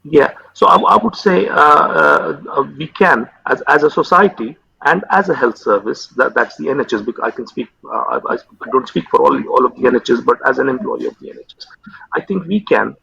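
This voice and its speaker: A man in his thirties, a psychiatrist whose first language is Urdu, speaking haltingly with many fillers and repeated words in an interview over a video call. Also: mid-range voice, animated, creaky, pushed and bright, slightly rough. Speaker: consultant psychiatrist guest. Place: home room with window. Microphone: video call webcam. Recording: dull lo-fi video call audio.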